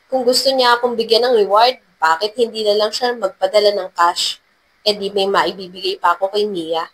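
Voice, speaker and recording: A woman's voice: mid-range voice, fairly even intonation, moderate pace, husky, natural voice, poor audio. Woman playing a serious, guarded ex-agent saleswoman. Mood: unimpressed. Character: Bella, serious guarded young woman, former security agent.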